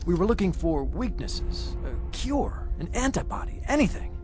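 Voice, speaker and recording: An actor voicing a zealous, self-justifying military intelligence researcher in a dramatic motion comic. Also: male voice, high-pitched, very animated, normal speed, over music. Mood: haunted, intense.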